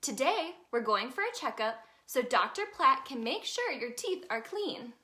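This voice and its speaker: A woman voicing a gentle, instructive mother tiger from a children's storybook, reassuring and sing-song. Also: sits high, sing-song, measured pace, heavily acted.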